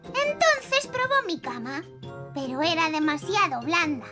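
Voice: extremely high pitch, very animated, measured pace, pushed and bright, soft background music, full character voice. A performer voicing a sweet, earnest little fairy princess girl with a Castilian Spanish accent in a cartoon dub. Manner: earnest, childlike.

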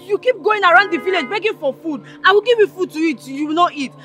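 Woman as a frantic village lady, very high, voice jumps around, music underneath. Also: animated, normal speed, fairly bright tone. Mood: scolding. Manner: exclaiming, pleading.

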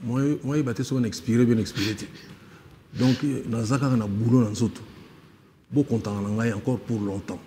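A man's voice: medium pitch, normal speed, slight background noise. A man, a musician guest on a TV studio talk show, speaking easily and mixing Lingala with French. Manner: relaxed, lists names, mixes Lingala and French. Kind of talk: casual studio interview. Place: TV studio set.

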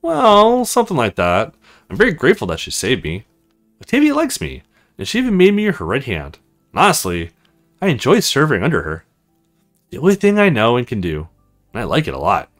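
A man's voice: mid-range voice, wildly swooping pitch, a little fast, a bit bright, soft background music. A man voicing a submissive young servant woman in a visual novel, meek, earnest and obedient. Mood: devoted, meek.

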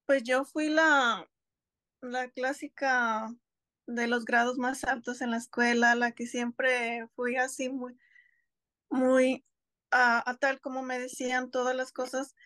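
Mexican mom on a video call at home, kinda high voice, hesitant, keeps restarting, dry sound. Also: female voice, level tone, slow pace, fairly bright tone, dry recording. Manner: hesitant, restarts words, thoughtful. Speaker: guest, homeschooling mother. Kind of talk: casual interview conversation. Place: home, seated on a couch.

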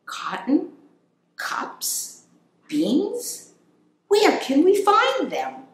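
Woman voicing an eager little hamster Max, high-pitched. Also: huge pitch swings, drawn-out words, reverberant, full character voice. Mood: puzzled.

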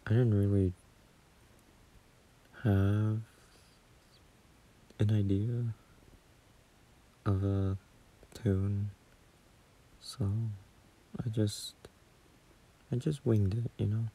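A man speaking softly and hesitantly into a close phone mic as a gentle, caring boyfriend in a soothing ASMR roleplay. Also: on the low side, flat delivery, very slow, long pauses, rough recording. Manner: soft, hesitant, soothing, at times near whisper.

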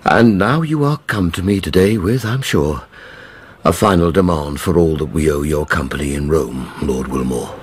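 An actor playing a ruined, nervous older shipping merchant in a radio drama, husky voice. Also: male voice, extremely low pitch, moderate pace. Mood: anxious.

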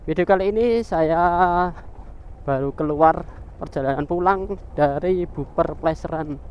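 A man's voice: on the high side, unhurried, a little mellow. A man from Indonesia, chatting casually and jokingly as he narrates a ride as a motovlogger. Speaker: motovlogger, channel host. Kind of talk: casual motovlog commentary while riding. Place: outdoors, riding a motorbike on village roads. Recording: outdoor vehicle and engine noise.

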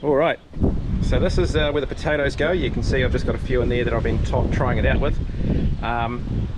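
A man gives a relaxed, plain-spoken farm walkthrough, full of ums and uhs, outdoors and in a tractor cab on an action camera. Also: mid-range voice, fairly quick. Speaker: farmer. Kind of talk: casual farm vlog walkthrough. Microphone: action camera on the body or close at hand. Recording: loud wind and engine noise.

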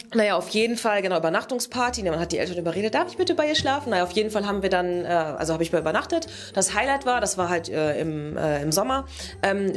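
A woman in her twenties telling stories casually as a game player, rambling and colloquial with many asides. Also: medium pitch. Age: 20s. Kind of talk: casual storytelling. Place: indoor room, standing before a backdrop.

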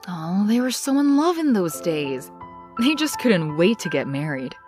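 A woman playing a young woman confiding her painful past in an expressive, reflective comic dub narration. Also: on the low side, measured pace, soft background music.

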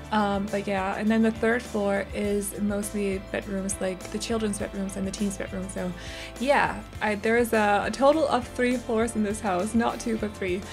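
Young woman with a slightly rough voice. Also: mid-range voice. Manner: chatty, self-correcting, giggly. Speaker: Sims builder narrating a speed build. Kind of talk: casual voiceover commentary.

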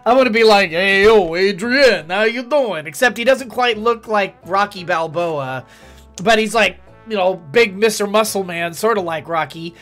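A man's voice: sits high, measured pace, fairly bright tone, faint music behind. A man in his forties giving chatty, joking let's-play commentary from a home gaming room. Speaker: gaming streamer.

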